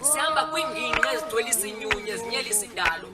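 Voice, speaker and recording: A woman raps in a high register at a brisk pace. The verses are rhythmic and set over a traditional tune, with music playing loudly behind her. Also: expressive, far from the mic. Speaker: rapper. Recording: loud music under the voice. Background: loud music.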